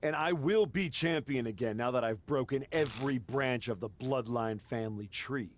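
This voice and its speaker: An actor playing a cocky, vengeful pro wrestling villain in a video game, boastful and taunting. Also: male voice, mid-range voice, moderate pace, a little hiss or hum, close to an everyday voice. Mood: smug, boastful.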